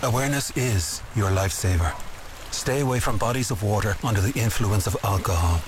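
A man reading a water safety message in a grave, measured tone. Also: lowish voice, noisy background. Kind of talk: public safety voice-over.

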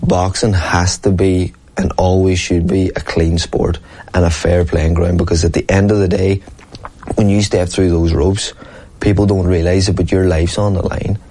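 A man's voice: sits low, level tone, moderate pace, warm tone. A man, a professional boxer talking earnestly and at length in an interview, often asking "you know what I mean". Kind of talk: casual interview talk.

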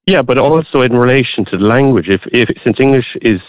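Earnest Irish caller on a muffled line. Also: male voice, medium pitch, expressive, fast talker, no echo. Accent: Irish, Waterford. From Ireland. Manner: earnest, pushes on through interruptions with many restarts. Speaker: radio caller. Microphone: phone line.

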